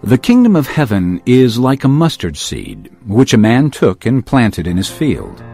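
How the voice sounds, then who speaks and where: An earnest male teacher in an audio Bible drama, telling parables to crowds. His mid-pitched voice swings widely and expressively while keeping a measured storyteller's delivery, with music underneath. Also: vocal fry, darkish tone, full character voice. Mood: gentle teaching.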